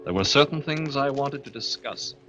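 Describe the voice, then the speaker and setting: An actor playing a haunted, brooding young heir, narrating his own tale dramatically and haltingly in 1940s radio style. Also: mid-range voice, expressive. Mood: composed. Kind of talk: 1940s radio acting, first-person narration. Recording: muffled vintage radio broadcast over loud music.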